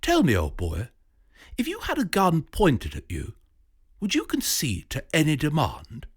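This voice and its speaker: A man's voice: a little high, wildly swooping pitch, measured pace, slightly rough, pitched above the performer's own voice, full character voice. A man voicing a genial, scheming British professor with a jovial, clipped upper-class manner.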